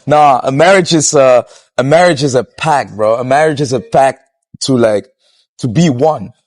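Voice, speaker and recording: A young man from Curacao talking outdoors on a city street as an interviewee, relaxed and blunt, swearing freely and laughing as he talks. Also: mid-range voice, moderate pace. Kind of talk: casual street conversation.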